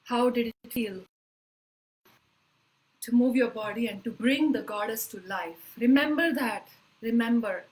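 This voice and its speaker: A woman's voice: a little high, rough recording. Earnest woman guiding a spiritual workshop. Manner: earnest, emphatic, slow deliberate phrasing. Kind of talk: live online spiritual workshop.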